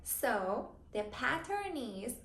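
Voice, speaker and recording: A woman teaching an English vocabulary lesson for beginners, speaking in a very slow, clearly spaced way for learners. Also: fairly high pitch, big pitch swings, fairly bright tone. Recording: roomy echo, faint background noise.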